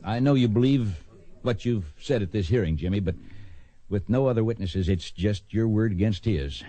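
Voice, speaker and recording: An actor playing a firm, folksy frontier judge in a western radio drama. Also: male voice, fairly low pitch, normal speed, mellow, close to an everyday voice. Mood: firm, regretful. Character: authoritative but kindly frontier judge.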